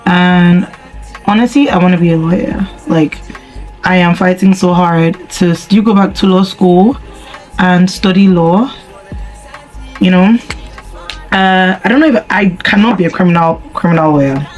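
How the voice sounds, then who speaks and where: A young woman from Nigeria with a husky voice, chatting casually and rambling with slang and deadpan humor while answering viewer questions. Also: lowish voice, measured pace, pauses often, soft background music, poor audio. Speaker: vlogger. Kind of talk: casual chat while doing makeup.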